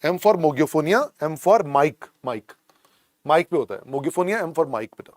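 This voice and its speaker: A man's voice: a little high, expressive, fast, no room sound, studio quality. A man with an Indian English accent teaching a medical exam class, drilling each point over and over and calling students "beta". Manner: repetitive, drills phrases, calls students "beta". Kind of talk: classroom lecture. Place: lecture room.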